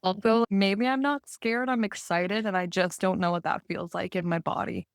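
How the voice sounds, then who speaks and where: A woman co-hosting a podcast, speaking at a middle pitch in a casual, reflective chat. She laughs easily mid-sentence. The sound is dry and close, with very little room. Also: steady tone, moderate pace, clean tone, dry recording. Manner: laughs easily while talking. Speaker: podcast co-host.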